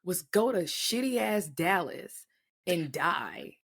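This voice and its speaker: A woman's voice: lowish voice, very animated, slow pace, husky. A woman co-hosting a podcast in casual chat, talking bluntly and swearing freely. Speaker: podcast co-host; mother.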